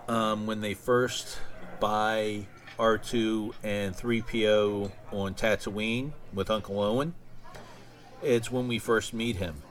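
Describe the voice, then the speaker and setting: A man hosting a podcast alone in a casual, conversational style, with somewhat halting phrasing. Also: fairly low pitch. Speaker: podcast host. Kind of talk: casual solo podcast talk.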